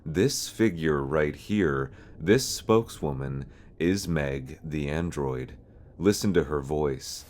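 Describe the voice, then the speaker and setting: Male narrator for horror explainer series, very deep register, slight background noise. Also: takes it slowly, darkish tone. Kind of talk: semi-scripted explanatory narration.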